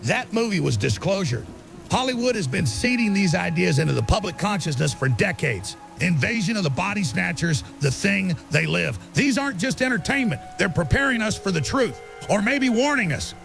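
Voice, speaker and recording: A man's voice: high voice, very animated, moderate pace, creaky, a bit bright, soft background music, heavily acted. A man acting an earnest, insistent conspiracy researcher being interviewed as a guest in an audio drama. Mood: conspiratorial.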